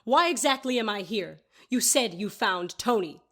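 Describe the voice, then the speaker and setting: A woman's voice: mid-range voice, animated, normal speed. A woman playing a haughty, easily offended older lady in an audio drama, formal and scolding in manner. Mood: indignant.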